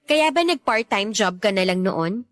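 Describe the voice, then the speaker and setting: A woman's voice: mid-range voice, lively intonation, unhurried, fairly bright tone, no echo, studio quality. A woman with a Filipino accent playing a supportive young girlfriend, speaking casually and earnestly in a radio drama. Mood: concerned, affectionate. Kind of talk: Tagalog radio drama dialogue.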